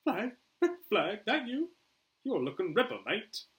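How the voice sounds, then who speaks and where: A man's voice: high voice, very animated, a little slow, fairly bright tone, pitched above the performer's own voice, heavily acted. A man voicing a cheeky, chummy Australian crook with a put-on Australian accent. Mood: chummy, boastful. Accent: attempted Australian.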